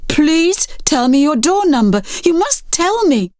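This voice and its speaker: A woman's voice: high-pitched, big pitch swings, a little slow, darkish tone, no echo, studio quality, pitched above the performer's own voice. A British woman voicing an urgent, commanding woman adventurer in an audiobook, insistent and earnest. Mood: pleading, urgent. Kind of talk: audiobook character dialogue.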